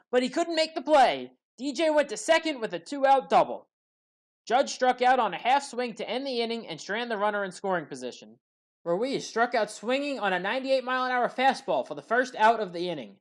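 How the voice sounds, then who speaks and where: A woman giving a scripted play-by-play recap of baseball highlights in a measured, factual way. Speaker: highlights commentator.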